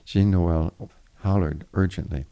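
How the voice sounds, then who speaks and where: A man with a very low, dark voice reads a literary story aloud. His delivery is formal and deliberate, with a gravelly creak running through it and now and then a small stumble. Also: fairly even intonation. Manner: formal, deliberate reading with occasional stumbles and retakes. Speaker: reader of a story chapter. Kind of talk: literary audiobook narration.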